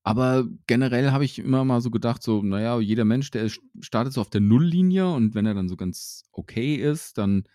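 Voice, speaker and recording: A man's voice: mid-range voice, brisk, few pauses, dark tone, no room sound, clean studio sound. A man talking casually and reflectively about his faith, with many fillers and restarts.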